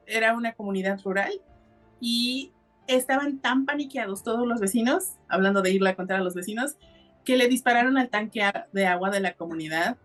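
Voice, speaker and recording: A woman with a Mexican accent hosting a podcast, telling a story in a casual, colloquial and explanatory way. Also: a little high. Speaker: podcast host.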